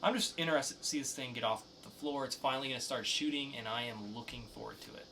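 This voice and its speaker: A man with a mid-pitched, bright voice co-hosts a casual news chat podcast. He talks conversationally, and a light layer of background noise sits under his voice. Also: fast. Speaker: podcast co-host.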